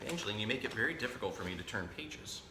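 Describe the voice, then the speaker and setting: A man hosting a children's storytime, reading a picture book aloud in a playful, expressive way and talking directly to young listeners. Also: lowish voice, very fast. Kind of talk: animated read-aloud of a children's picture book with chatty asides to a pet parrot. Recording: roomy echo, faint background noise.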